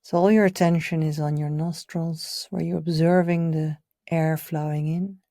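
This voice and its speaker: A woman leading a guided meditation in a gentle, soothing, unhurried way, her soft voice often trailing off toward a whisper. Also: sits low, fairly even intonation, no room sound, clean studio sound. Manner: soothing, gentle, unhurried, with audible sighs and breathy pauses. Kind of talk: guided meditation, live session.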